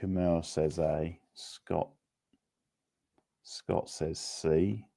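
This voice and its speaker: A man hosting a casual video game quiz, reading out options and answers in a deliberate way. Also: sits low, steady tone, creaky, mellow. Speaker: quiz host.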